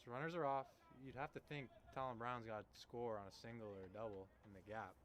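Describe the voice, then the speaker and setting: A man's voice: mid-range voice, steady tone, a little fast, fairly bright tone. Casual young Canadian man calling baseball over noise. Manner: informal, slangy, jokey. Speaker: baseball play-by-play commentator. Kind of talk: casual, chatty live sports commentary. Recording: noisy live ballgame broadcast.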